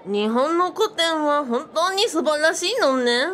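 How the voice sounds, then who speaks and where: Woman voicing an excitable, high-voiced boyish sidekick. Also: measured pace. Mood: enthusiastic. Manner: polite, eager, quirky sentence endings. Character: excitable, eager, bookish boyish male sidekick. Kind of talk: comic radio drama dialogue.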